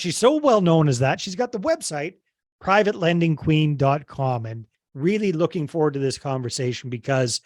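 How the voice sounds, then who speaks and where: Give me an upbeat male podcast host with a slightly high voice. He talks in a chatty way and jumps in to finish his guest's thoughts. The sound is dry and close. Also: dry recording. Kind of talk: casual interview hosting.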